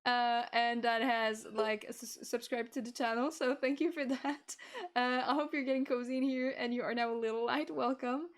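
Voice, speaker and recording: A young woman hosting a casual livestream chat, reading viewer comments, halting and giggly. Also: on the high side, level tone. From France. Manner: giggly, halting, restarts often. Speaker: VTuber streamer hosting.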